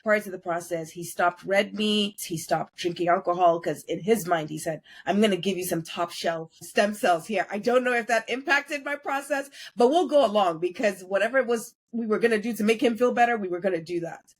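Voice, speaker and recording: A woman hosting a podcast interview, speaking conversationally with effusive, expressive wording. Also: medium pitch, a little fast, hardly any pauses, slightly rough. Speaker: podcast host.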